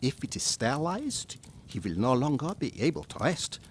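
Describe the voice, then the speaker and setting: A learned old professor, played by a man, grave and resolute, lecturing with a very lively rise and fall. The audio drama voice is mid-pitched and measured, with faint noise behind it. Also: close to an everyday voice.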